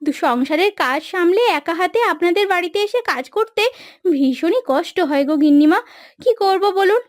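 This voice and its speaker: A woman's voice: extremely high pitch, animated, no echo. A woman playing a weary, plaintive village housemaid and wife in a cartoon moral story. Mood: pleading. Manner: plaintive, complaining, drawn-out lamenting. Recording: dry studio voice over background music.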